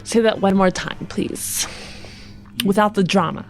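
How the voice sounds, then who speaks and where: A woman's voice: medium pitch, measured pace, soft background music. A woman playing a tabletop role-playing game, improvising dialogue and chatting casually. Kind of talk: improvised in-character role-play and casual chat.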